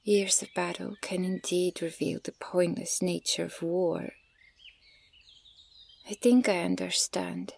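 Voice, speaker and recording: A woman playing a stern, guarded, ancient elven archer in an ASMR fantasy roleplay, speaking in a measured, formal and breathy way with a creaky edge. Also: lowish voice, flat delivery, darkish tone, exaggerated voice. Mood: firm, questioning. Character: stern, guarded female elven archer, centuries old, scornful of humans.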